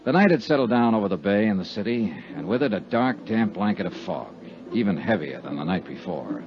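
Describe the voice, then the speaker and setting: A man's voice: lowish voice, expressive, fairly quick, over music, natural voice. An American man playing a hard-boiled, brooding and self-assured insurance investigator in a 1950s radio detective drama. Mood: moody, somber. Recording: dull, muffled 1950s radio recording.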